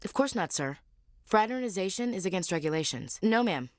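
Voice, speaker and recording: A woman's voice: medium pitch, lively intonation, brisk, no echo, close to an everyday voice. A young American woman playing a tough, candid female space marine in a video game, with a frank, dry manner and military politeness. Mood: dry, composed. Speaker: game character, soldier. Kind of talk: naturalistic video game voice acting.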